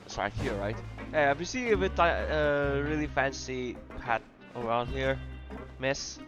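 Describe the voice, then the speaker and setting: A man's voice: medium pitch, expressive, unhurried, highs cut off. A man giving casual playthrough commentary, muttering and trailing off, often breaking into giggles. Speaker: game streamer. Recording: game music behind the voice.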